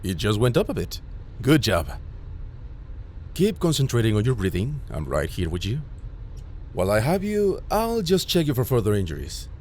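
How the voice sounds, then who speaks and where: A man playing a caring, worried ambulance medic who gently reassures an injured patient in an immersive audio roleplay. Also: lowish voice, huge pitch swings, normal speed, long pauses, slight background noise. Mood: calm coaching. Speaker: voice actor.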